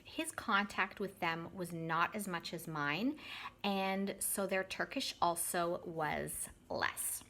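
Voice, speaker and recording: A woman's voice: mid-range voice, a bit bright. A woman from Canada in her thirties, talking casually to her channel's viewers and correcting her own word choices as she goes. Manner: chatty, self-correcting, friendly direct address. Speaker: YouTube vlogger and English teacher. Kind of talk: casual talk to camera.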